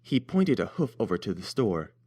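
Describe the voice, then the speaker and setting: A man's voice: on the low side, very animated, normal speed, mellow, breathy edge. A man narrating a scripted story as its reader.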